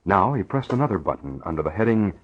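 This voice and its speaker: A man's voice: low-pitched, very animated, fast, slightly rough. A man narrating a scripted radio adventure drama in an old-time broadcast style. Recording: dull old radio recording, faint noise.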